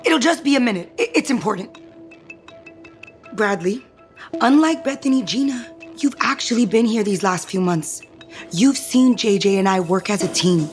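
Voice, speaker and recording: An actress playing a bold, assertive young schemer in an audio soap opera. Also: female voice, medium pitch, expressive, normal speed, soft background music, natural voice. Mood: terse, insistent. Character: bold, scheming, assertive woman protecting her partner.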